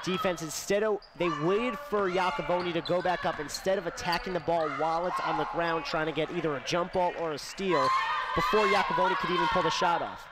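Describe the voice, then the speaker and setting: A man's voice: a little high, steady tone, brisk. A man working as color commentator, giving live analysis of a basketball game. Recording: very loud noisy gym broadcast. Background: loud gym noise and ball bounces.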